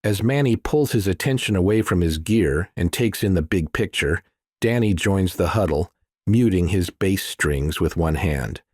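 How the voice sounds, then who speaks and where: Male audiobook narrator, low-pitched, dry sound, wry measured delivery for action thriller prose. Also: lively intonation, a little mellow, husky, no room sound. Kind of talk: scripted thriller narration, present tense.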